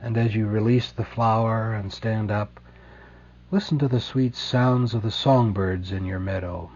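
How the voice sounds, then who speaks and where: Low, mellow man guiding a meditation. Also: steady tone, muffled recording. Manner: slow, soothing, gentle delivery with deliberate pauses. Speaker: meditation guide. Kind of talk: guided meditation.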